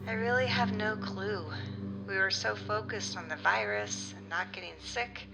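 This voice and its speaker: A middle-aged actress playing a grieving mother of a missing son, halting and emotional, in a naturalistic audio drama interview. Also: medium pitch, steady tone, creaky, very noisy, close to an everyday voice. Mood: weary, regretful.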